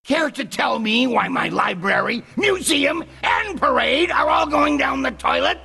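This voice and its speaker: Shrill, exasperated city mayor. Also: male voice, lively intonation, measured pace, gravelly, breathy edge, faint music behind, full character voice. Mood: exasperated, angry. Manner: loud, indignant, barking orders. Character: exasperated, blustering middle-aged male city mayor.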